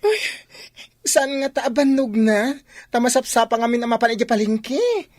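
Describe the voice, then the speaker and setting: A woman's voice: on the high side, expressive, normal speed, darkish tone. A woman with an Ilocano accent playing an anxious, caring young person in a radio drama. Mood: distressed. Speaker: voice actor.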